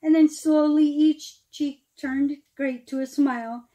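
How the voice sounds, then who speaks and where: High-voiced woman reading a children's storybook aloud. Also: steady tone, mellow. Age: middle-aged. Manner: dramatic, emphatic phrasing with pauses, explaining asides to children. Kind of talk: expressive children's storybook read-aloud in rhyme.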